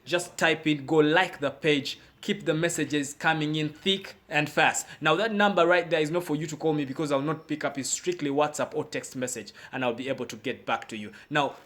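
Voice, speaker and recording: A man's voice: on the high side, normal speed, few pauses, fairly bright tone. A man hosting a talk show in a casual, conversational way, repeating words and rephrasing as he puts questions to a guest. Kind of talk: live interview, conversational questioning.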